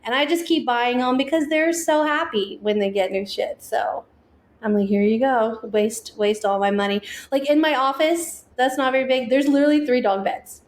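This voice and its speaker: A woman's voice: high voice, moderate pace, echoey room. A woman co-hosting a podcast in casual chat, talking freely and laughing often. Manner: chatty, laughs often, self-deprecating. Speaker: podcast co-host.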